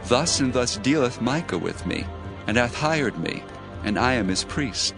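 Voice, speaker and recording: An actor playing a polite, composed young wandering priest in a dramatized scripture reading, with measured formal delivery. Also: male voice, medium pitch, animated, over music. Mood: plain, composed.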